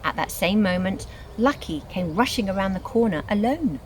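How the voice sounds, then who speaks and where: A woman reads scripted storybook narration in an expressive, dramatic storytelling manner. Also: mid-range voice, moderate pace, a little hiss or hum. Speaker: reader of a children's book.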